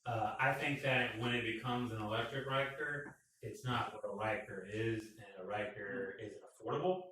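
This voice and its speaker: A man co-hosting a casual motorcycle live stream, joking and teasing with loud bursts. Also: lowish voice, normal speed, a little hiss or hum. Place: garage. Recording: echoey garage, far from mic.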